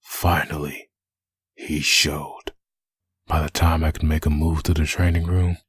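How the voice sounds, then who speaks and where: A man playing a brooding undead antihero full of hatred in a comic dub, with a gravelly rasp and a grim monologue delivery. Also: extremely low pitch, dry recording, full character voice. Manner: grim, slow internal monologue. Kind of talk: comic dub dramatic narration.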